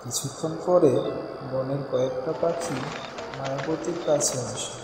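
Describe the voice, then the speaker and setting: A man's voice: medium pitch, steady tone, unhurried. A man narrating a scripted children's cartoon story. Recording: very echoey long reverb, music behind.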